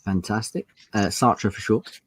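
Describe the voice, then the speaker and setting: A man's voice: on the low side, expressive, normal speed, husky, no room sound. A man hosting an online panel as game master, introducing it in a casual style.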